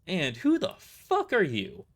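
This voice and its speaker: A man's voice: high voice, wildly swooping pitch, slow, voice pushed up, heavily acted. A man voicing a furious, foul-mouthed small woman squad leader in an indignant shriek for an audio drama. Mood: hostile. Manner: indignant, swearing, emphatic.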